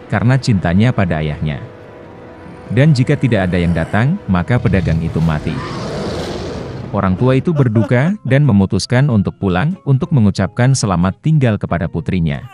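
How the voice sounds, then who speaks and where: A man narrating a bedtime fairy tale for children, lifting his voice at the start of many phrases. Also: fairly low pitch. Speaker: storyteller. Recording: soft music underneath.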